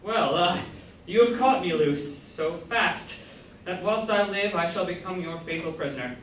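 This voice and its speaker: A man's voice: high voice, expressive, unhurried, fairly bright tone. A young man, a student, playing an ardent, brooding lover in verse in an amateur staged reading. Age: young adult. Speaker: student actor. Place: college room, staged reading. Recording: very echoey room, far off, faint noise.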